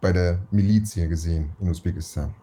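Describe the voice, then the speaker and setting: Interview guest: male, Russian native speaker from Uzbekistan, husky voice, slangy and emphatic. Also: sits low, brisk, dark tone. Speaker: actor guest. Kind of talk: casual podcast interview.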